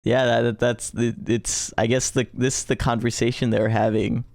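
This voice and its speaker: A man's voice: mid-range voice, level tone, normal speed, creaky, darkish tone, no room sound, studio quality. A man chatting casually as a guest on a game stream, bantering and reading character lines in a laid-back way. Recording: background game music.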